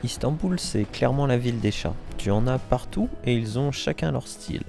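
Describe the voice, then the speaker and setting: A man hosting a travel vlog, narrating in a relaxed, wry tone that speaks straight to the viewer. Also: mid-range voice, normal speed, gravelly, over music. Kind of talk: voice-over narration.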